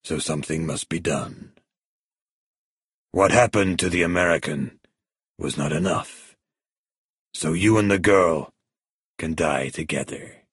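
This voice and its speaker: Man acting a cold, low-voiced crime boss villain. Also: slightly rough, dry recording, clean studio sound, heavily acted. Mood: cold menace. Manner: soft, slow, deliberate menace. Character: cold menacing male crime boss villain, calm and commanding.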